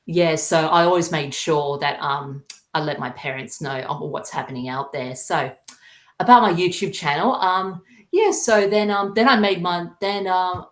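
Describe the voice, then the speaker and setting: A man's voice: fairly high pitch, moderate pace, fairly bright tone. A young Australian man chatting casually as an interview guest, hesitant and earnest in his delivery. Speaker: podcast guest, YouTuber. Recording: roomy, echoing sound.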